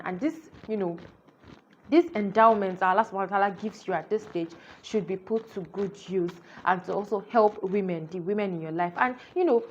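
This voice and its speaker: A woman's voice: mid-range voice, a little hiss or hum. A woman talks through a book in a casual, earnest review, explaining each chapter and leaning on "you know" as she gathers her thoughts. Her voice sits in an ordinary middle range. The recording is a little dull and lo-fi.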